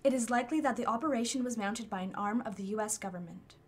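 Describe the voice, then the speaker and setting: A female machine intelligence played by a woman delivers findings in a radio drama. The voice sits fairly high, and the delivery is formal, exact and impersonal, laying out facts like an analyst. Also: fast talker, breathy edge. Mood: clinical, matter-of-fact. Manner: formal, precise, matter-of-fact delivery. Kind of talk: radio play acting.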